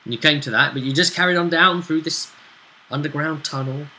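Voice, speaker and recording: A man's voice: a little high, a little fast, pushed and bright, poor audio. A man acting as game master, casually recapping a tabletop role-play session and checking in with his player as he goes. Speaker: game master and host of the vidcast. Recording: faint background noise.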